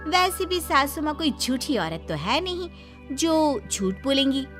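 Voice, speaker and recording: Woman acting a cheeky, high-voiced young daughter-in-law. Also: sing-song, a little slow, a bit bright, soft background music, full character voice. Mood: sugary, sly. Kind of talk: comic moral-story acting.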